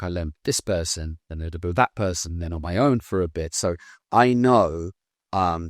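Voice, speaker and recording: A British man in his thirties talking in a casual interview, blunt and animated, swearing now and then. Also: low voice, no room sound, studio quality. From Britain. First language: English. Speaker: guest.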